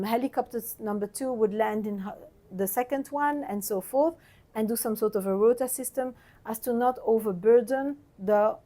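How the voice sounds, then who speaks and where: Woman explaining in a mellow voice. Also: medium pitch. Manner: explaining, with restarts. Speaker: hospital guest relations director. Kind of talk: interview explanation.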